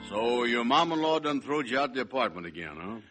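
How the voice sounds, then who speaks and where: An actor playing a slow-witted, teasing buddy in a 1950s radio sitcom. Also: male voice, mid-range voice, very animated, loud music behind the voice, natural voice. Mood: amused, curious. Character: slow-witted, teasing male pal of the husband. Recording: noisy vintage radio recording.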